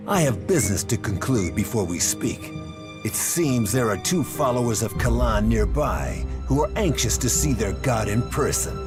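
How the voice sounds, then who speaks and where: An actor playing a cold, logical renegade soldier in a video game, speaking formally and with measured menace. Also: male voice, on the low side. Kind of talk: video game dialogue acting. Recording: music under the voice.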